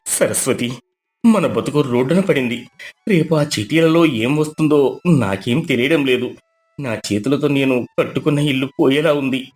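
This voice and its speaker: A man's voice: medium pitch, moderate pace, a little mellow, natural voice. A man playing a poor, naive village husband, fretful and plaintive, in a dramatized moral story. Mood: despairing, worried.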